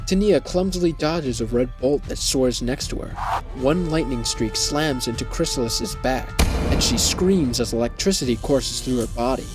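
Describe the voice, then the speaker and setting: A man reading scripted story narration in a measured, descriptive manner. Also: medium pitch, over music.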